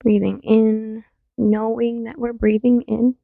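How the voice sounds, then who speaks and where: A woman mindfulness teacher leading a guided meditation in a gentle, soothing manner, leaving long pauses between phrases. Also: a little high, little pitch movement, creaky, no room sound. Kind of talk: guided meditation, body scan. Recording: narrow phone-like sound, very dry.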